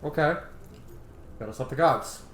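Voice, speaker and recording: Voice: medium pitch, moderate pace, slight background noise. A gamer reacting casually to a playthrough and thinking aloud, informal and muttering to themself. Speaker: gaming streamer. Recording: roomy, echoing voice over game music. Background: game music.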